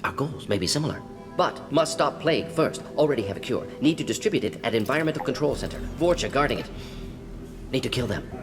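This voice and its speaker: A man voicing a brisk, brainy, restless alien scientist in a video game, talking in clipped fragments as if thinking aloud. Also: mid-range voice, animated, in a large hall, music in the background, natural voice. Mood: brisk, focused. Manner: clipped telegraphic sentences, muttering deductions aloud.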